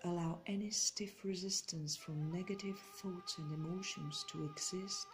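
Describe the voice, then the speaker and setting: Female meditation guide: lowish voice, very unhurried, soothing and deliberate, over clearly audible music. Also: fairly even intonation. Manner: soothing, measured, deliberate phrasing with pauses.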